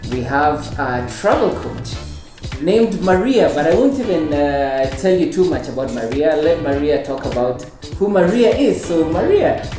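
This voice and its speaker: A man's voice: fairly high pitch, big pitch swings, unhurried, music in the background. A man hosting a casual interview show, upbeat and encouraging in manner. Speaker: host. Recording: echoey room.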